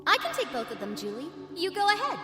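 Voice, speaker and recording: A woman's voice: extremely high pitch, huge pitch swings, fast talker, sharp tone, faint music behind, voice pushed up, full character voice. A woman voicing an eager, scheming preteen twin girl in an audio drama. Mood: eager, coaxing.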